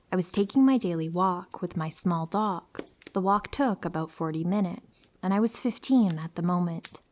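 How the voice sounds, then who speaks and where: A woman calmly reading true horror stories aloud as a narrator, in an even storytelling manner. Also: lowish voice.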